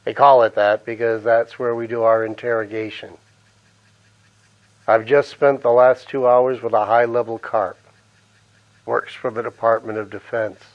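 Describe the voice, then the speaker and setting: Male actor as grim, hard-boiled secret agent recording a journal: medium pitch, brooding, weary, muffled audio. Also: natural voice. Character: bitter, ruthless male underground agent, a weary interrogator. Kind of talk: radio drama monologue, spoken journal entry.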